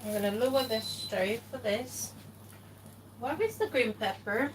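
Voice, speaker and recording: A woman from Ghana talks slowly through her cooking and thinks aloud as she goes. The camera sits a few steps away, so she sounds distant. Clear kitchen noise runs behind her voice. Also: fairly high pitch. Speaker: cooking vlogger. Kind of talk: casual cooking commentary. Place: home kitchen.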